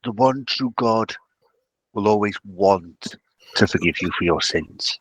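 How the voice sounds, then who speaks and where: A man playing a mild, evasive cleric in a tabletop game, offering halting, soothing, mock-pious comfort. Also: lowish voice, fairly bright tone, slightly rough, no echo, poor audio.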